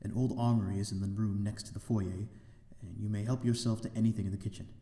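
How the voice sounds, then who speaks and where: A man acts out a lonely, well-mannered vampire lord of an old manor in an ASMR audio drama. His voice is low and creaky, with formal, genteel and slightly hesitant phrasing. It sits in a noticeably roomy echo. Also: steady tone, fast talker, mellow, lightly acted. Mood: tender, grateful.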